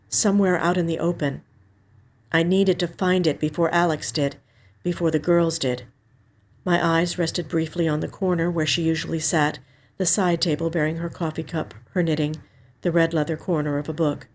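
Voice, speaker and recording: A woman reading a first-person mystery story as a scripted narration, measured and expressive. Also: deep voice. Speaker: actor reading a short story.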